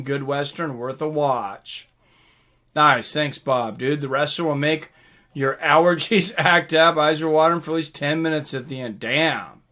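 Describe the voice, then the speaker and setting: Bright, excitable man chatting on a livestream. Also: a little high. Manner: excitable, informal, swearing. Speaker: livestream host. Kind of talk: casual livestream chat, reading out viewer comments and reacting.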